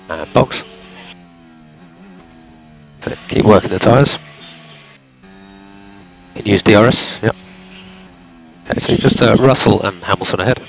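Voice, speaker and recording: A man working as a race engineer, giving calm, clipped instructions to a driver over team radio. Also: fairly low pitch, gravelly. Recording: noisy team radio, car engine sound.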